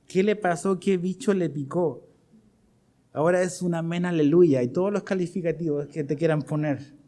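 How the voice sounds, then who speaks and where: Animated Chilean man preaching a sermon. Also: on the high side, unhurried, pauses often. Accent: Chilean Spanish. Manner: animated preaching, asks the congregation questions. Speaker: pastor.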